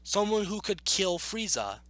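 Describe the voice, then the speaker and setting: A man hosting a video, reading aloud and arguing back with blunt, opinionated off-the-cuff commentary in a halting, self-correcting way. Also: sits high, very animated, fairly bright tone, no echo. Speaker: video host reacting to an article.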